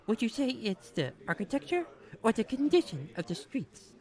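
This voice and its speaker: A woman's voice: on the high side, very animated, normal speed, a little mellow. A woman playing a sensible, articulate, somewhat pedantic detective partner in a comic audio drama. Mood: matter-of-fact.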